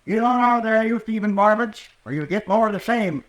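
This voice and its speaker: A cantankerous, blustering old man of the frontier. His voice sits fairly high, with a rural American twang, in a vintage western radio play. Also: lively intonation, brisk, rough recording. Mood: threatening. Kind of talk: 1950s western radio drama acting. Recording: vintage radio drama recording.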